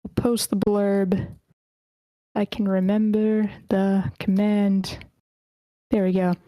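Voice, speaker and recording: A woman's voice: mid-range voice, flat delivery, takes it slowly, mellow, no room sound. A woman hosting an online table read, casually announcing and organizing things. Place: online voice meeting.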